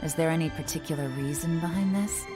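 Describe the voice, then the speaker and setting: An actress playing a composed female official, calm and measured. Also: low voice, over music, close to an everyday voice. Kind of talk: game voice acting.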